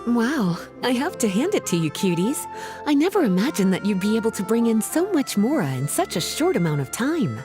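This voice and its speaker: A woman's voice: a little high, sing-song, fairly quick, music in the background. A woman voicing an elegant, teasing grown-up sorceress in a video game, coy, honeyed and playful. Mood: affectionate, teasing. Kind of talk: English video game voice acting.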